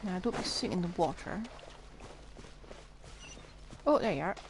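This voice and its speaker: A woman's voice: fairly low pitch, lively intonation, moderate pace. A woman giving casual live gameplay commentary, chattily thinking aloud to herself. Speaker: game streamer. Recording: clearly noisy background.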